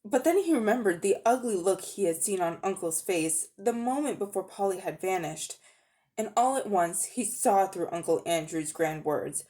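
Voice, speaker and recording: Animated woman narrating a storybook. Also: mid-range voice, moderate pace. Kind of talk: dramatic storybook reading.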